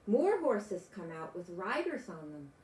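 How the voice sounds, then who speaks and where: An older grandmother reads a children's story aloud. Her delivery is slow and very animated, with exclamations aimed at young listeners. The room around her sounds echoey. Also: medium pitch. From United States. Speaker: grandmother reading picture books aloud. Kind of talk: children's storybook read-aloud with chatty asides.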